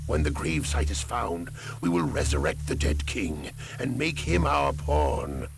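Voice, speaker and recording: Gravelly, sinister necromancer commander. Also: male voice, low-pitched, sing-song, unhurried, noisy background, full character voice. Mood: scheming. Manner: theatrical, commanding, ominous. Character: scheming male necromancer war commander, cold and menacing. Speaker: campaign briefing narrator. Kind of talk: dramatic scripted game cutscene narration. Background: music.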